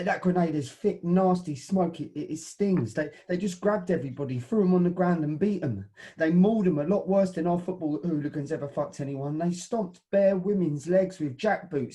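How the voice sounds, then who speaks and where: Brief: an actor as a brawling football fan, rough-spoken, stumbling over words. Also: male voice, high voice, moderate pace, dark tone, close to an everyday voice. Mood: agitated. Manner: rough, swearing, stammering storyteller. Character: rowdy male football hooligan. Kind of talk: satirical audio drama.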